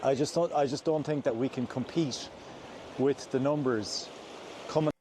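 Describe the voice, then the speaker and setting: An Irish man vlogging outdoors while he walks, giving his views in an earnest, measured way, often restarting his sentences. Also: mid-range voice, a little mellow, slight background noise. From Ireland. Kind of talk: walking vlog, opinion talk. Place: outdoors on slippery ground near a stream.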